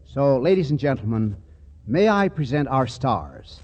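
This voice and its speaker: A man's voice: medium pitch, sing-song, a little slow, a little mellow, muffled recording. A man announcing and narrating in a formal, showmanlike 1940s radio style, reading from a script.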